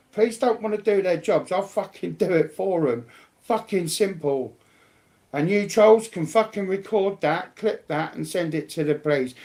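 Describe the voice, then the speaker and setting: Male, slightly high-pitched voice, blunt and combative, casual sweary delivery. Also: a little mellow. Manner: blunt, combative, repetitive slangy talk. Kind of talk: casual, heavily sweary chat.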